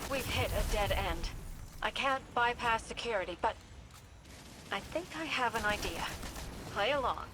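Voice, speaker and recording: An actress playing a composed mission commander in a video game, giving clipped tactical instructions. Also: female voice, a little high, animated, measured pace, long pauses, background noise. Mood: calm, tactical. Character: composed female mission commander guiding a soldier remotely. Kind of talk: scripted video game voice acting.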